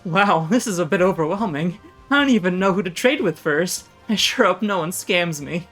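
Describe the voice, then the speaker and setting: A woman's voice: lowish voice, expressive, moderate pace, fairly bright tone, soft background music. A woman voicing a timid, earnest and gullible young male student in a game read aloud. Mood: anxious, unsure. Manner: hesitant, unsure. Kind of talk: visual novel character read aloud.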